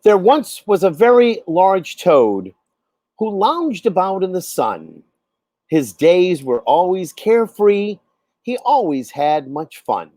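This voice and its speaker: Guy reading a kids style poem, soft warm tone, really swoopy intonation, dramatic and deliberate. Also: high voice, big pitch swings, no room sound. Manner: measured, dramatic, deliberate storytelling. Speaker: poet reading own poem. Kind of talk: expressive storybook poetry recitation.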